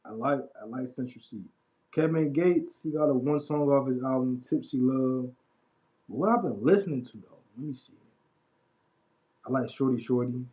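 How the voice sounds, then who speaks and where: A man in his late twenties talks loosely and casually, drifting into quiet mumbled asides between bits of chat. His voice sits in an ordinary middle register. The audio is dull and lo-fi, like a home stream mic. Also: medium pitch, moderate pace. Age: 20s. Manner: laid-back slangy talk, mumbling asides. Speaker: livestream host. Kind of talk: casual livestream chat about music.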